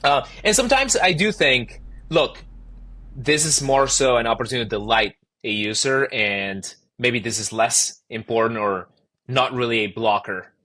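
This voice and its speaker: A man with an Argentinian accent, a startup founder answering podcast interview questions in animated, emphatic bursts. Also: mid-range voice, normal speed.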